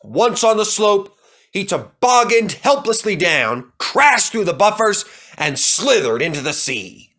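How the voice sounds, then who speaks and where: A man's voice: sits high, sing-song, a little slow, fairly bright tone. A man narrating a children's storybook as a scripted read-aloud.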